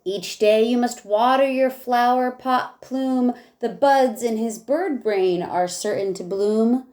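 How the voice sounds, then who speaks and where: A woman teacher reading a rhyming picture book aloud in a measured way, with casual asides. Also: a little high, darkish tone.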